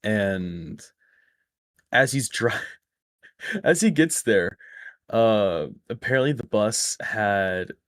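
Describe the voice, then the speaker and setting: A man with a slightly raspy voice, rambling through a story in casual chat over a voice call. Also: fairly low pitch, expressive, very slow, pauses often, dry recording, clean studio sound. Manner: rambling, self-correcting storyteller. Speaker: stream guest. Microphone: close microphone.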